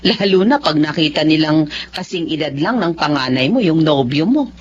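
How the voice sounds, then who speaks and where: A woman's voice: sits low, expressive, moderate pace, highs cut off, slight background noise. An actress playing a sensible, skeptical older female confidante who questions and admonishes in Filipino radio drama style. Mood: concerned, skeptical.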